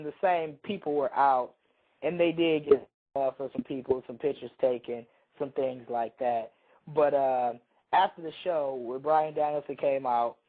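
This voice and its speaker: A man co-hosting a radio talk show, telling a long, rambling story while hoarse from a cold. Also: fairly high pitch, phone-quality sound. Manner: rambling, self-interrupting storyteller. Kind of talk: casual chat, storytelling.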